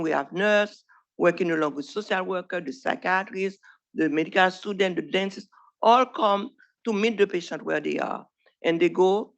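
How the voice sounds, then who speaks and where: A woman's voice: lowish voice, creaky, a bit bright. A woman from Haiti with a Haitian accent, a primary care doctor giving an earnest presentation to trainees. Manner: earnest, plain speech with small grammar slips. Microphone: podium microphone.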